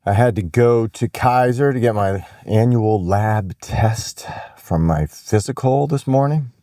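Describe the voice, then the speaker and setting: Exasperated American male host, opinionated casual rant, soft-edged mellow tone, dry close sound. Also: fairly low pitch, no room sound. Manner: exasperated, emphatic, repeats phrases for effect.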